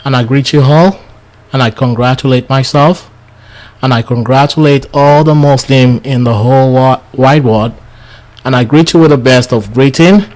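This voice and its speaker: A man whose first language is Yoruba delivers a religious lecture in English, with a voice of middling pitch. He sounds a little tired and speaks earnestly. He often stumbles and starts his words over. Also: darkish tone. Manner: earnest, halting with restarts. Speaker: Islamic lecturer. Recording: studio lecture for radio/TV.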